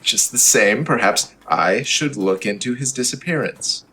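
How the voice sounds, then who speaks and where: A man playing an earnest, matter-of-fact superhero disguised as a mild-mannered reporter in an old-time radio comedy, with a husky voice. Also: mid-range voice, lively intonation, unhurried, bright, forceful tone, a little hiss or hum. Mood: earnest, concerned. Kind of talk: old-time radio serial parody acting.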